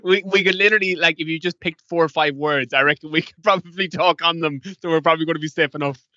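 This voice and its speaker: Fast-talking Irish man from Galway. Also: a little high, fairly even intonation, bright, forceful tone, no echo, highs cut off. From Galway, Ireland. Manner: quick, stumbling restarts. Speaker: podcast guest. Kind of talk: casual interview chat.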